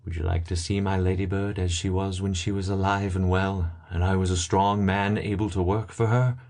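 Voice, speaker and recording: A man playing a grieving, gentle old gentleman in a solemn, earnest dramatic audiobook reading. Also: low voice, level tone, normal speed. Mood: sorrowful.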